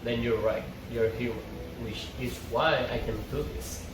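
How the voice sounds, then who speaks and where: A man's voice: mid-range voice, fairly even intonation, a little slow, background noise, close to an everyday voice. A man reading the part of a cocky but devoted older brother, a vampire, in a drama table read. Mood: insistent, devoted. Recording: roomy, distant mic, music underneath.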